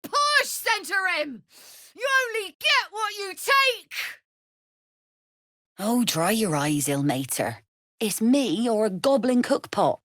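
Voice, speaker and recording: A woman's voice: a little high, sing-song, slow pace, a bit bright, no room sound, clean studio sound, heavily acted. A woman playing a shrewd, mercenary crime boss in a video game, wry and self-assured with cool menace. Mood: commanding, threatening. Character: shrewd, mercenary female Zhentarim smuggler boss, threatening and wry. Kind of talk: video game character voice lines.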